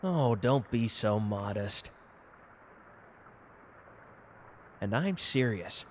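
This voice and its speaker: A man's voice: fairly low pitch, animated, slow, slight background noise. A man playing a cocky, gallant and protective customer who flirts teasingly in a romantic roleplay audio drama. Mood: protective, sincere. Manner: suave, teasing, intimate one-sided conversation.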